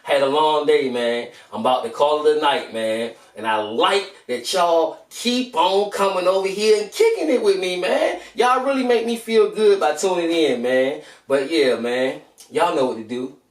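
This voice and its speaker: Male story-time host: high, very animated delivery, casual and encouraging with children. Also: normal speed, echoey room, far from the mic. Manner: informal, encouraging, repeated slangy check-ins. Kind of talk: animated read-aloud storytelling and casual chat to young viewers.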